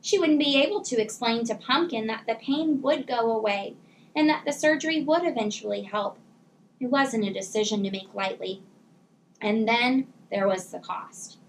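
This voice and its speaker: A woman teacher reading a children's storybook aloud in a measured, expressive way. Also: on the high side, a little hiss or hum, rough recording.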